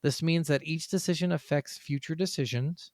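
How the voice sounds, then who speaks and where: A man's voice: a little high, normal speed, darkish tone, dry recording, clean studio sound. A man co-hosting a podcast in casual chat, working through his notes with rambling, sometimes profane asides. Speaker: podcast co-host.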